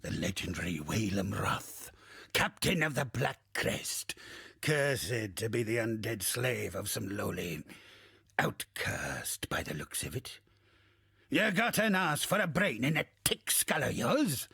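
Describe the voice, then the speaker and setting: An actor playing a gruff, salty old undead pirate captain in a video game, swaggering and theatrical, with crude archaic sailor talk and a husky, gravelly voice. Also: male voice, on the low side, a bit bright, no room sound, studio quality, exaggerated voice. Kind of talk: video game character acting.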